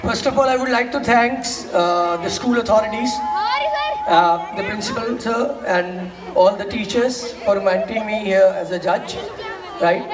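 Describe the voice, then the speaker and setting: A man, speaking as a judge to schoolchildren, loud and energetic as he calls on the crowd. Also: high-pitched, a little slow. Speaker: competition judge. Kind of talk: speech to schoolchildren. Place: outdoor school assembly. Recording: echoey, distant mic, crowd noise.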